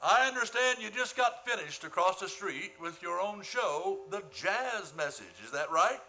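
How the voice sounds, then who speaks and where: High, bright-voiced man in stage banter. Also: animated, normal speed, poor audio. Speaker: cast member introducing the host. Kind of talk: stage banter on a radio show. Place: theater stage, before a live audience.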